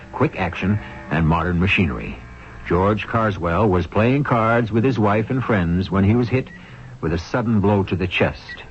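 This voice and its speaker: A man's voice: fairly low pitch, natural voice. A man giving scripted narration for a 1970s radio drama. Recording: dull vintage radio broadcast.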